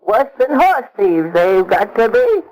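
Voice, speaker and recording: A man's voice: sits high, very animated, measured pace, a little mellow, low-quality recording. A male radio actor playing a folksy, obliging old homeowner with rustic, colloquial speech on an old 1930s recording. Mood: wary, obliging. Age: older.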